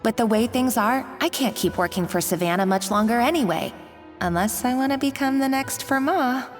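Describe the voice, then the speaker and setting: A woman playing a modest, earnest young chef in a video game, tentative yet quietly determined. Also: on the high side, lively intonation, moderate pace, close to an everyday voice. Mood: resolute. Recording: reverberant, background music.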